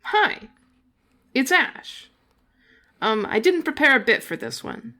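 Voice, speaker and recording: A woman's voice: high voice, sing-song, slow pace, pushed and bright. A woman co-hosting a podcast in casual chat, rambling and giggling often, coming down with a cold. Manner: giggly, rambling with many fillers. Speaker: podcast co-host.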